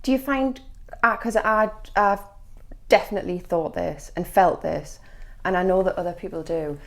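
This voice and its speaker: A woman's voice: on the low side, moderate pace. A woman with a Geordie accent hosting a podcast, asking curious, encouraging questions. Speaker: podcast host. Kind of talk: casual podcast interview.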